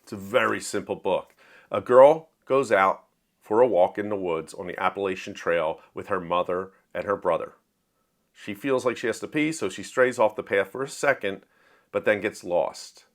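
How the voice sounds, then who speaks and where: A man's voice: fairly low pitch, moderate pace. A man talking casually about books he read this month, in an enthusiastic, explanatory, conversational way. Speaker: book reviewer and writer. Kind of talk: casual monthly reading wrap-up.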